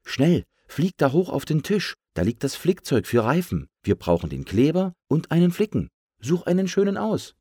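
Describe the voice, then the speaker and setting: A man's voice: a little high, huge pitch swings, moderate pace, mellow, slightly rough, no echo, clean studio sound, pitched above the performer's own voice, full character voice. A man voicing a kindly, practical air pump that gives instructions with wry wit in a children's story. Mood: urgent.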